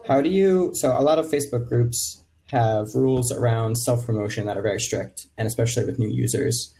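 A man's voice: medium pitch, fairly quick, mellow. A man hosting a casual live interview, putting informal questions with some hesitant phrasing. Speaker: interviewer, meetup host.

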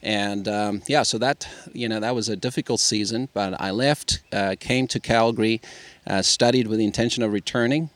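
A middle-aged pastor with a South African accent tinged with Canadian, talking thoughtfully in a casual podcast interview outdoors. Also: male voice, lowish voice, fairly bright tone, no echo. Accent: South African with a touch of Canadian. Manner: thoughtful, measured, with fillers. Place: outdoors in nature.

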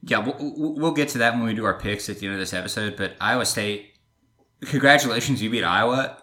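A man's voice: medium pitch, fairly quick, pushed and bright. A man hosting a sports podcast, chatting casually and teasing his co-host.

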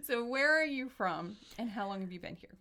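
A woman's voice: mid-range voice, expressive, fairly quick, pushed and bright. A woman hosting a local podcast, interviewing a guest in a friendly, chatty way, laughing often and saying mm-hmm a lot. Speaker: podcast host. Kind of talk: casual interview chat.

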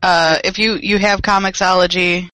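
A man's voice: high voice, flat delivery, normal speed, sharp tone, poor audio. A young man co-hosting a casual podcast chat, joking and swearing freely, with a dry voice today. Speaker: podcast co-host.

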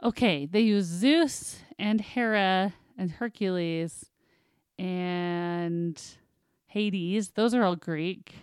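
Clear, smooth-voiced woman, close and dry. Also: lowish voice, drawn-out words, dry recording, faint music behind. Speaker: quiz contestant and show regular, a parent. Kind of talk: casual chat.

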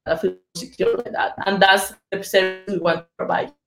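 A woman's voice: mid-range voice, flat delivery, moderate pace, reverberant. A woman who speaks Spanish natively, talking in English with a Spanish accent as a guest on a business podcast. She talks earnestly and simply. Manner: earnest, plain-spoken, speaks simply in a second language. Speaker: title company business development executive.

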